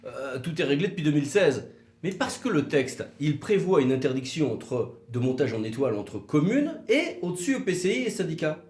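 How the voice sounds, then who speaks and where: A man presenting an explanatory legal video in a conversational way, with rhetorical questions and hesitant pauses. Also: on the high side, sing-song, darkish tone. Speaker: legal commentator for a law firm, co-presenter. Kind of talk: explanatory legal video, semi-scripted two-hander. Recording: roomy, echoing sound.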